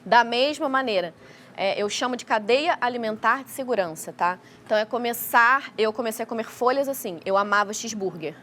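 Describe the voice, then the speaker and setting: A woman's voice: fairly high pitch, fairly quick, fairly bright tone, a little hiss or hum. A woman with a Rio de Janeiro accent, speaking as a guest expert and explaining things on a talk show. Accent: Carioca (Rio de Janeiro) Brazilian Portuguese. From Rio de Janeiro, Brazil. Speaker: guest child feeding specialist.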